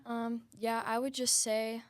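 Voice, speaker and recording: A teenage girl runner talking casually, with a lot of likes and ums and a gravelly fry. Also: on the high side, level tone, a little slow, no room sound. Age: teens. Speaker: high school runner.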